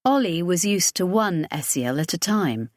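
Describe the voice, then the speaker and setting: A woman's voice: on the low side, animated, normal speed, no echo, studio quality. A woman reading scripted narration from a science fiction audiobook.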